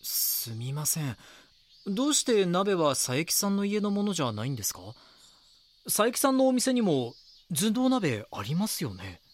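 An actor playing a young magazine reporter in an audio drama, polite, earnest and persistent. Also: male voice, sits high, sing-song, normal speed. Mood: polite, probing. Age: young adult. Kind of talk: audio drama acting with inner monologue.

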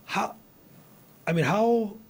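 A man hosting a casual interview podcast, excitable and rambling, often restarting his sentences. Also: sits high, slow pace. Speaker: podcast host.

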